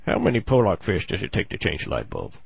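A man with a gravelly voice making a comic prank phone call, halting and full of mock-earnest complaint. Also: deep voice, wildly swooping pitch, a little hiss or hum. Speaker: prank caller on a comedy show.

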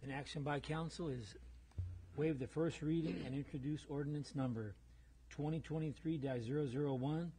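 Mayor reading motions, mellow voice. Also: male voice, a little high, fairly even intonation, moderate pace, slight background noise, low-quality recording. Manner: reads motions in a formal procedural tone. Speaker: mayor chairing council. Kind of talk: chairing a council meeting. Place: council chamber.

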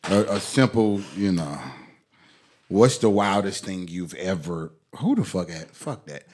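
A man's voice: sits low, animated, a little slow. A man co-hosting a podcast, butting in with crude jokes and questions on a studio desk mic. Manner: loud, crude, joking interjector. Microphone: desk mic on boom arm.

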